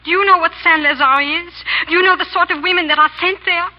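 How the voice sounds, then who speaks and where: An actress playing a proud, impassioned young wife of an English lord in 1930s radio drama, pleading and declaiming. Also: female voice, extremely high pitch, fairly even intonation, fast talker. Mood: pleading, wounded. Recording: dull, hissy, crackling 1930s radio recording.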